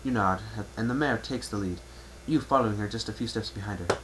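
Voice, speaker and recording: Casting: male amateur storyteller, lowish voice, scripted narration, faintly noisy home recording. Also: brisk. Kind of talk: dramatic reading of fan fiction, second-person narration.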